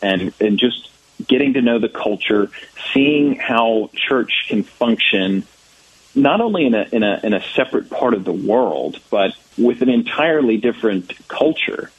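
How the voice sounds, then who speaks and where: A man's voice: medium pitch, expressive, measured pace, husky, no echo, dull audio. An American man in his thirties, a church ministry director answering interview questions thoughtfully, with many fillers and restarts. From United States.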